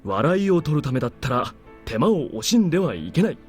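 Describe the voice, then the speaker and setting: A breezy military officer in a visual novel, teasing and informal. His delivery is brisk and swings freely up and down, with faint music underneath. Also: fairly high pitch, sing-song. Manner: casual, teasing. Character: easygoing, joking male military superior officer. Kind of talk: Japanese visual novel voice acting. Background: game music.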